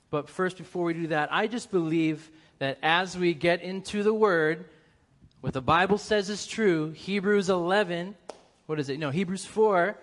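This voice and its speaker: A young adult man with a faint Boston accent, preaching a lively church sermon and joking with the congregation. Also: on the high side. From New England, US. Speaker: guest preacher.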